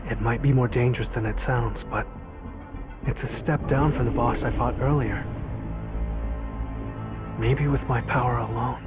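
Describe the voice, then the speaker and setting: A man's voice: medium pitch, steady tone, normal speed, long pauses, lightly acted. An actor playing a thoughtful, determined young male hunter in a video game, speaking earnestly as if to himself. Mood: pensive, resolute. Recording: phone-like thin sound, loud music behind.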